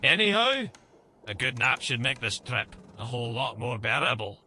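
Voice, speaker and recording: A man's voice: medium pitch, very animated, moderate pace, bright, forceful tone, slight background noise. A man playing a wry, theatrical elven bard in a fantasy audio game. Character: wry male elven bard travelling companion.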